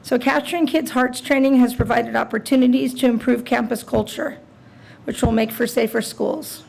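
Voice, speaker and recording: A woman who is president of a teachers' union, hoarse and raspy from laryngitis, reading a prepared statement at a school board meeting. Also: on the high side, steady tone, normal speed, darkish tone, poor audio.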